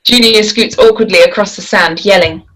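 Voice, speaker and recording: A woman's voice: mid-range voice, moderate pace, fairly bright tone, poor audio. A woman reading stage directions as scripted narration at a table read. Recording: faint noise and clicks.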